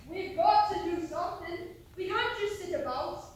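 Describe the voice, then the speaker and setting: A woman's voice: very high voice, moderate pace. A woman acting as a suspicious, stubborn young emigrant in a stage play, insistent and agitated. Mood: anxious, agitated. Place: theatre stage, recorded from a distance. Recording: very echoey hall, distant mic, faint noise.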